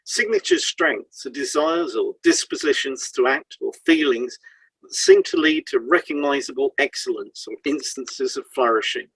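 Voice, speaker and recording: A man's voice: medium pitch, fairly bright tone, slightly rough, no echo, poor audio. A man reading a scripted informational piece as a podcast host, in an explanatory way.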